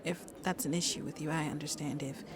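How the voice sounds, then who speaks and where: A woman playing a shy, gentle century-old vampire who seems in her twenties, soft-spoken and hesitant in an ASMR roleplay, often dropping to a near-whisper. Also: low voice, fairly even intonation, crowd noise. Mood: sheepish, apologetic. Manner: hesitant, apologetic, halting, breathy near-whispers.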